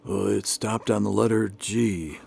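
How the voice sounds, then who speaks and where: Guy playing a doubtful dad in a radio play, lowish gravelly voice, polite, hesitant. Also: steady tone, unhurried, a little mellow, heavily acted. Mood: skeptical, hesitant. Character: skeptical, hesitant husband and father type. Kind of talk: old-time radio drama acting.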